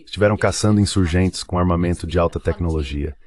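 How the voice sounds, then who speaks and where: A man's voice: low-pitched, quick pace, darkish tone. A man giving political commentary as a panel guest, explaining things step by step.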